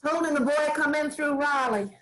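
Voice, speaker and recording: Woman reading old farm widow, dry, wry, plainspoken, stubborn, slightly high voice. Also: a little fast, fairly bright tone, rough recording. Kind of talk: staged play reading.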